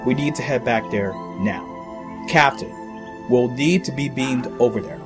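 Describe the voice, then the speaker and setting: An actor playing a composed, tactful away-team officer, speaking in a measured, diplomatic way in a sci-fi audio drama. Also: male voice, mid-range voice, over music, close to an everyday voice. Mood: decisive.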